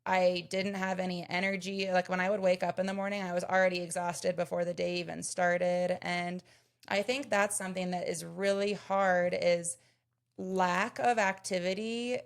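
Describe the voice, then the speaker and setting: A woman's voice: on the low side, level tone, moderate pace. A woman in her thirties, a podcast co-host, chatting casually and earnestly, explaining her points with everyday examples. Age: 30s. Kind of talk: casual chat.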